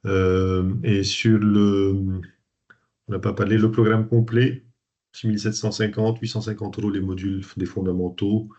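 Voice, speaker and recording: Male coach from Lebanon, lowish voice, hesitant presenter style, muffled call audio. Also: level tone, moderate pace, warm tone. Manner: hesitant, many fillers and restarts. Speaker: coach and trainer hosting a webinar. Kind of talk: informal presentation to an online group. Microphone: video call. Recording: dull lo-fi video call audio.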